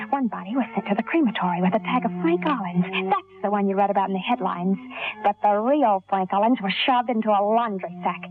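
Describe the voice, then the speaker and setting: An actress playing a scheming, hard-boiled gangster moll with a tough, wry, slangy delivery in an old radio crime drama. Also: female voice, mid-range voice, lightly acted. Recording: tinny old radio broadcast, music behind.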